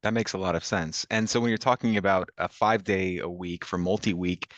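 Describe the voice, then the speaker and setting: Male interviewer, very low voice, measured questions, dry and slightly dull recording. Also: fairly even intonation, no echo. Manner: measured, asks long careful questions. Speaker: interviewer, training manager. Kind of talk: interview conversation.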